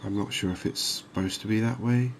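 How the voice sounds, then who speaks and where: A soft-spoken man giving unhurried, soothing ASMR commentary over a video game. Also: lowish voice, fairly even intonation, slight background noise. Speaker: gaming video host.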